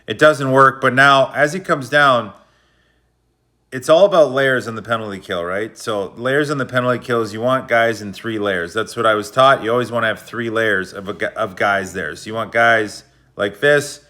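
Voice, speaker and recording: A man narrates hockey replays in a relaxed, slangy, coach-like way. His voice sits at an ordinary pitch but comes across bright and forceful. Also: normal speed. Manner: loose, slangy, coach-like explaining. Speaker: hockey video analyst. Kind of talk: casual play-by-play breakdown of game footage.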